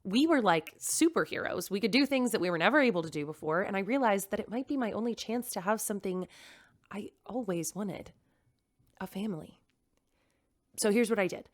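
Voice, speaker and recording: Actress as an earnest computer-mom, mid pitch, nervous and rambling, audio drama. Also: female voice, lively intonation, fairly quick, natural voice. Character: computerized woman store owner, new mother, earnest. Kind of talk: audio drama acting, recorded message.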